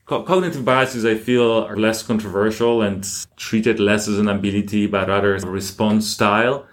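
A man speaking as an academic guest in an interview, explaining things deliberately and with emphasis. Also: lowish voice, steady tone.

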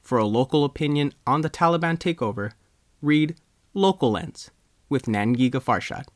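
A male news reporter reads a scripted article in a measured way, breaking sentences into short phrases. His pitch sits in the middle for a man, and the sound is dry, with very little room in it. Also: expressive, no room sound. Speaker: news reporter and writer reading own article.

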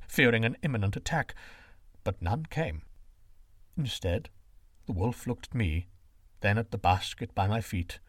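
A man voicing a kindly older shepherd father who speaks low, soft and measured. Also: no room sound, studio quality.

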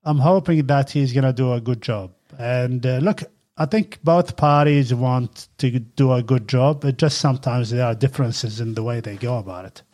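Husky middle-aged father. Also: medium pitch. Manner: relaxed, halting with fillers. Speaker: podcast host, father. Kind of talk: casual chat.

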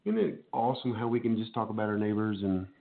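A man's voice: on the low side, fast talker, vocal fry. A man hosting a casual radio chat, nasal from a cold, joking and laughing often. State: getting over a cold, nasal. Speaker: host. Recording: phone-like narrow band.

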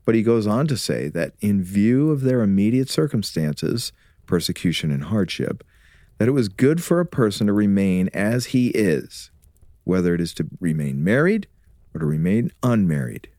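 Man playing scholarly reformer and father, deep-toned, teasing, preacherly, measured, radio drama, very dry recording. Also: very animated, mellow, no echo. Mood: earnest, instructive. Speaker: actor in an audio drama.

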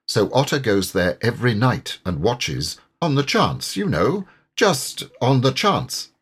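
A man's voice: medium pitch, sing-song, measured pace, a bit bright, slightly rough, pitched above the performer's own voice, heavily acted. A man voicing a kindly water rat for an audiobook, a grave and earnest friend full of concern. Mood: anxious, sympathetic. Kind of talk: audiobook character voice.

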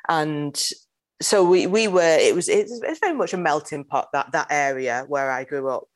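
Low-voiced Mancunian woman, thoughtful. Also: moderate pace. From Manchester, England. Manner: thoughtful, searching for words as she goes. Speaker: singer-songwriter guest. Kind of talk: conversational interview.

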